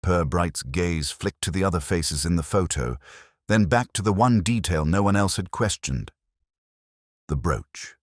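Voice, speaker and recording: Male mystery narrator, very low and breathy, deliberate and hushed delivery. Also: lively intonation, no room sound, clean studio sound.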